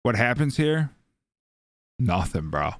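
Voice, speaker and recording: A young man co-hosting a livestream, joking loudly and swearing in casual chat on his own microphone. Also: medium pitch, sing-song, slow pace, dry recording, clean studio sound. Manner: loud, joking, profane. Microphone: personal microphone.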